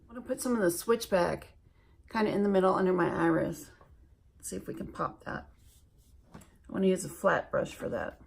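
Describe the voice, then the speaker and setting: A woman from Alaska chatting slowly and self-deprecatingly while doing her makeup for a beauty vlog. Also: fairly low pitch, expressive, gravelly, a little hiss or hum. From Alaska, USA. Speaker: beauty vlogger.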